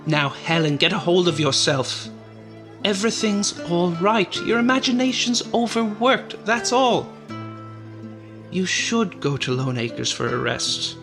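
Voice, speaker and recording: Guy acting a calming radio play man, pretty high voice, brisk and dismissive, music behind. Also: heavily acted. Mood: placating. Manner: placating, brisk. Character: reassuring, dismissive man calming a frightened woman.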